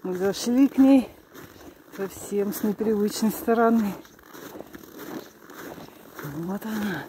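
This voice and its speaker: A woman narrating a walk casually in short, unhurried, musing phrases, recorded outdoors on a handheld device. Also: mid-range voice, noisy background. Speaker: hiking vlogger. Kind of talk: casual narration while walking. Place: outdoors on a snowy forest trail.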